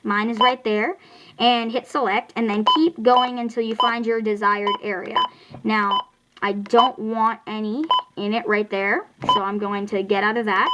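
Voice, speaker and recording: An American speaker giving a casual, halting hands-on product review and tutorial, explaining things informally and correcting slips along the way. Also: medium pitch, fairly even intonation, heavy background noise. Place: indoors at home.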